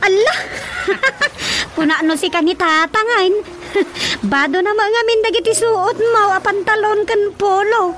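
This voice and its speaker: A woman acting an excitable, teasing young cousin in a radio comedy drama, exclamatory and mocking. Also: very high voice, takes it slowly, noisy background. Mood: startled, puzzled. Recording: loud background music.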